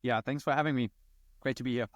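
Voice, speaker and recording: A man's voice: medium pitch, fairly even intonation, fast talker, dry recording, clean studio sound. A man from Germany with a German accent, answering conversationally as a founder interviewed on a podcast. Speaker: podcast guest, company founder and CEO.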